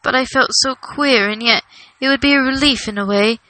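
A woman's voice: high voice, normal speed, bright, forceful tone, pitched above the performer's own voice. A woman voicing a stubborn, possessive elderly male hobbit in a storybook, defensive and hesitant. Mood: apologetic, troubled. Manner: hesitant, defensive, stammering under pressure. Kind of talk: storybook character reading.

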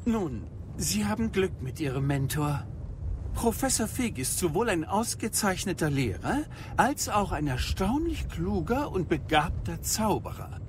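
Man acting a slow, expressive ministry wizard. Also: high-pitched, noisy background. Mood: courteous, intrigued. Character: courteous, grave male ministry wizard, flattering old friend. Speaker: voice actor in an audio drama. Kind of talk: dramatised fantasy radio play. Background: music.

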